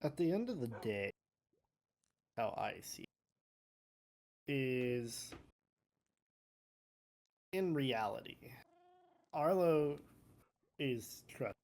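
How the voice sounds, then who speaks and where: A player voicing a sober member of a royal court in an improvised Minecraft roleplay, halting and deliberate. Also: medium pitch, sing-song, a little mellow, a little hiss or hum, exaggerated voice. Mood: sober, pondering.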